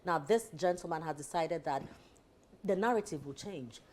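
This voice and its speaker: A Ghanaian woman hosting a talk show, chatting in an animated, emphatic, conversational way. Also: deep voice, moderate pace. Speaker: morning show host. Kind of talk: live talk show interview, chatty introduction.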